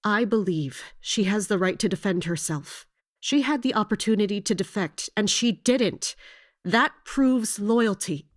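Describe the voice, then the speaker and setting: A woman playing a loyal mercenary captain in a fantasy audio drama, speaking formally and with measured, respectful defiance. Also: medium pitch, lively intonation. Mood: firm, defiant. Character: loyal, resolute female mercenary captain serving royalty.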